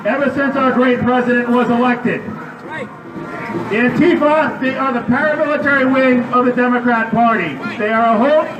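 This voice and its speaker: A man delivering a loud protest speech at an outdoor rally, firing questions at the crowd. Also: very high voice, normal speed, background noise. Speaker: rally speaker. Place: outdoor city square. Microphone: public address system heard from a distance. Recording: echoey outdoor PA from far off.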